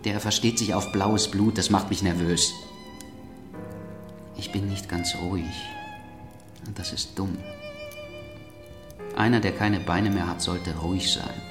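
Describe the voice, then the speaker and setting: An actor playing an uneasy, suspicious baron in a German radio drama, blunt and confiding in manner. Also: male voice, low voice, steady tone, measured pace, pauses often, roomy sound, over music.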